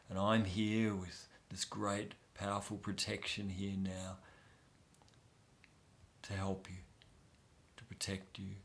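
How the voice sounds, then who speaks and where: A man guiding a soft, soothing inner-child meditation with long pauses. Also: low voice, vocal fry. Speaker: meditation guide.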